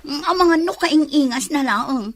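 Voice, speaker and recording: An actress playing an evasive, flustered schemer in a radio drama, with an Ilocano accent. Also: female voice, sits high, takes it slowly, dry recording. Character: evasive, flustered, scheming woman hiding something.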